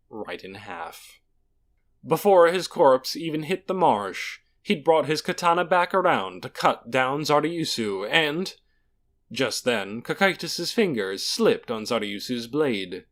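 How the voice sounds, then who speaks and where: Male narrator for fantasy fiction, dramatic scripted read with very lively, varied intonation. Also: medium pitch, slow pace. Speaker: audiobook narrator.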